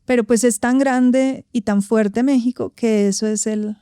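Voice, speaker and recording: A woman's voice: on the high side, fairly even intonation, a little slow, mellow, clear, resonant tone, no echo, studio quality. Thoughtful Bogotá-accented woman. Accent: Bogotá Colombian. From Colombia. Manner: thoughtful, searching for words, frequent tag questions. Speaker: guest, actress and vocal coach. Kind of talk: reflective interview talk.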